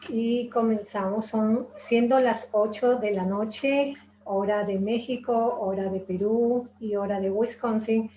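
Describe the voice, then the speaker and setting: A woman's voice: fairly high pitch, steady tone, slow. A woman hosting a webinar, introducing her guest in a chatty way with many fillers. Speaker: host, hypnotherapist. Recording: echoey room, faint noise.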